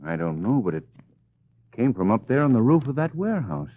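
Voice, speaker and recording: A man's voice: medium pitch, sing-song, a little fast, noisy background, close to an everyday voice. An actor playing a wealthy, cool-headed young man-about-town sleuth in an old radio drama, speaking in a wry, urbane way. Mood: tense, commanding. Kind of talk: 1940s radio drama acting. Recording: muffled old radio recording.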